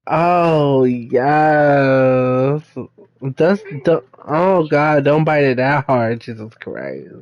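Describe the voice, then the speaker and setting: A man's voice: medium pitch, lively intonation, extremely slow, slight background noise. A man in casual chat, giving short, laid-back replies to a friend. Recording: dull lo-fi audio.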